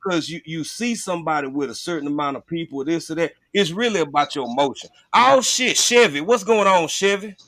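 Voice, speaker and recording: A man's voice: a little high, lively intonation, a little fast, few pauses, fairly bright tone, dry recording. A man chatting casually on a livestream in a blunt, profane, informal way. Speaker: livestream host.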